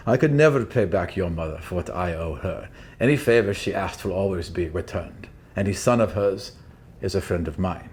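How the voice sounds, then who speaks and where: A man voicing a big, older sea captain in a tabletop game, gruff but protective, with a folksy, fatherly manner. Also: low voice, normal speed, a little mellow, slight background noise, voice pushed down. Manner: folksy, fatherly, calling the listener boy.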